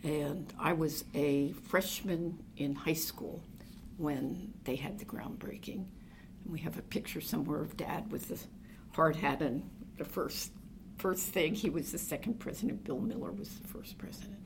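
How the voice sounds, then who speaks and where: An older woman from New Jersey with a deep, gravelly voice looks back on her family in an interview. She wanders through her memories and often pauses on "um" and "uh" as she recalls details. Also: noisy background. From New Jersey, USA. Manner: meandering, reflective storytelling with many fillers. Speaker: oral history interviewee. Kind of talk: reminiscing conversation.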